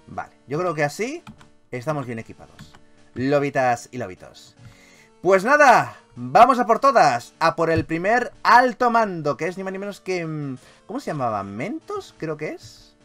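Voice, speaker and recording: A man with a Spanish accent from Spain commentates on a video game in a casual, expressive style. His voice sits a little high and swings widely in pitch. Game music plays behind him, and his language is informal and salty. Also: normal speed. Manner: informal, expressive, peppered with swearing. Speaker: gaming YouTuber. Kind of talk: casual Let's Play commentary.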